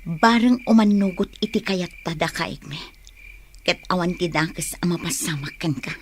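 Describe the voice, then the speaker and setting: An actress with an Ilocano accent playing a worried, protective older sister in a radio drama. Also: female voice, fairly low pitch, moderate pace, a little hiss or hum, natural voice. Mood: reassuring.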